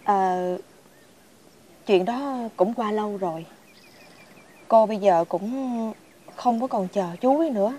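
A woman playing a gentle, lonely village woman in a fairy-tale drama, with a southern Vietnamese accent and a coaxing manner. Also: medium pitch, slow pace, pauses often, slight background noise, lightly acted. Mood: wistful. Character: gentle, lonely village woman long awaiting her lover.

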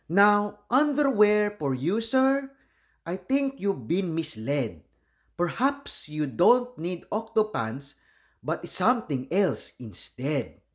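A man's voice: high-pitched, sing-song, slow pace, exaggerated voice. A man voicing a polite, proud seahorse shopkeeper from a storybook, with showy, courteous sales patter. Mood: tactful.

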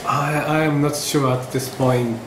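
Slow guy in his 50s, lots of ums and restarts, echoey seminar room with noise behind. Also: medium pitch, flat delivery. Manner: halting, with many fillers and restarts. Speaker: medical ethics presenter. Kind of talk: academic discussion in English as a second language. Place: seminar room with a projector screen.

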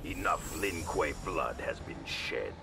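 A man playing a grim, honourable ninja grandmaster, grave and formal in fighting game dialogue, with a gravelly voice. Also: expressive, takes it slowly, background noise, exaggerated voice. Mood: grim, challenging. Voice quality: very deep, gravelly. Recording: loud music and effects behind the voice.

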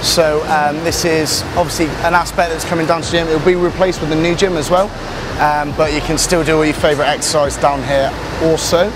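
A man's voice: a little high, fairly even intonation, a little fast, background noise. Upbeat man giving a gym tour. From England. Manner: upbeat, chatty, many fillers. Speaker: personal trainer. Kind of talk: walking gym tour to camera. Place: large gym hall and corridors, indoors. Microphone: camera mic, handheld camera following. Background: fans and building work.

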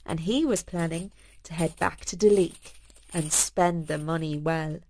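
A woman narrating a bedtime story for children in a folksy, expressive storytelling manner. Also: deep voice, unhurried, a little hiss or hum.

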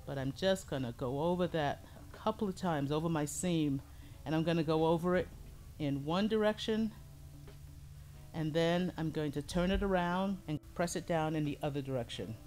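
Calm middle-aged woman, low voice, pottery teacher demoing at her workbench, faint music behind. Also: normal speed. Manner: calm, explains step by step while working with hands. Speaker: ceramics teacher. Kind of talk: hands-on demonstration lesson. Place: pottery studio, indoors.

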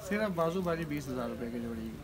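A man's voice: on the high side, lively intonation, fast talker, a crowd in the background. A man, a tailor in a bazaar shop, quoting prices and measurements in short, terse phrases. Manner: terse, quotes numbers. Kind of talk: haggling over prices. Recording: loud street noise.

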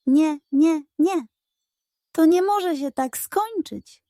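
A woman's voice: sits high, extremely slow, dark tone, no room sound, studio quality, pitched above the performer's own voice, exaggerated voice. A woman voicing a scheming, hot-tempered, greedy male pug in a children's story, dramatic and indignant. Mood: indignant outrage.

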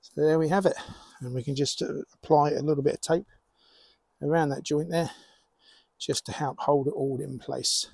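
A middle-aged man explaining and demonstrating as a hobbyist presenter, in measured short phrases with pauses. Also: a little high, steady tone, vocal fry, darkish tone, husky, dry recording. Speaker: amateur radio hobbyist presenter.

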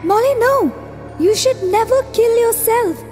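A woman voices a gentle conscience, pleading slowly and earnestly. Her voice is very high, with music behind. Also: animated.

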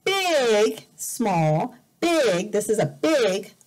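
A middle-aged woman teacher reads a picture book aloud to young learners, explaining simply, deliberately and expressively, repeating words. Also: mid-range voice, big pitch swings. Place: indoor room at home.

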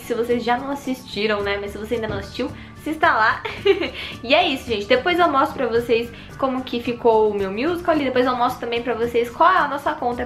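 A woman's voice: a little high, fairly bright tone. A young woman from Brazil, chatting casually and giggling to a handheld camera in her room. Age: young adult. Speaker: vlogger. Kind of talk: casual vlog chat to camera. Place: small bright bedroom and kitchen indoors. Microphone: handheld camera at arm's length.

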